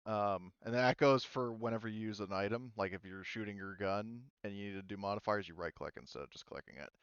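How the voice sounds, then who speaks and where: A man running a casual tabletop game as game master, explaining rules and narrating in an informal, halting way with frequent restarts. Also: fairly low pitch, a bit bright, clear, resonant tone, no echo, highs cut off.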